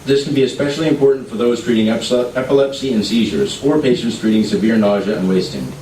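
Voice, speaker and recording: A man representing an advocacy group, reading prepared testimony in a measured, formal way at a legislative hearing. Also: medium pitch, mellow, slight background noise. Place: legislative committee hearing room. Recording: echoey hearing room, distant mic.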